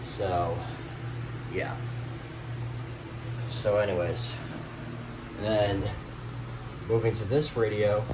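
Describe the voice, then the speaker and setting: A man giving a casual, meandering show-and-tell of a collection, filmed on a handheld camera in a small bedroom. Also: lowish voice. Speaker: hobbyist collector showing a collection. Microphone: handheld camera, behind the lens. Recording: distant mic, loud room hum and noise.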